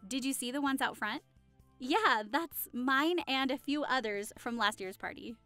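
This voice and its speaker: A woman's voice: high voice, a little slow, sharp tone, soft background music. A woman playing a friendly, affectionate young hostess in an audio roleplay, chatting cheerfully and reassuringly to the listener. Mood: chatty, explaining. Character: friendly, affectionate young woman hosting a Halloween costume party.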